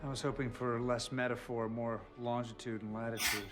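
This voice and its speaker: A man's voice: medium pitch, a little fast, over loud music, close to an everyday voice. An actor as a wry male agent leader. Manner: wry, deadpan.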